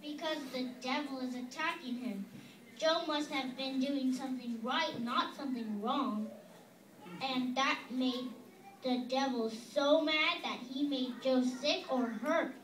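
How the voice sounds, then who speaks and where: A woman's voice: high voice, slow, fairly bright tone. A woman voicing an earnest know-it-all kid puppet who patiently explains and corrects her friends in a church puppet skit. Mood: explaining. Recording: echoey room from far off, noisy.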